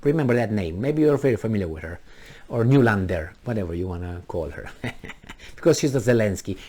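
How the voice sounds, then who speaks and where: A man's voice: fairly low pitch, lively intonation, brisk, warm tone. Romanian guy hosting his own channel, fires off rhetorical questions, voice jumps up and down a lot. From Romania (Transylvania). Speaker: commentary channel host. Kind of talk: opinionated political monologue.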